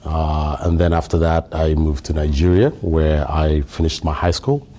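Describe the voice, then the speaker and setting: This is a deep, low-pitched man from Somalia whose first language is Somali. His tone is dark and mellow. He speaks as a podcast guest in relaxed chat, often pausing on fillers and breaking into laughs. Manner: hesitant, many fillers, laughs easily. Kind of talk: casual interview conversation.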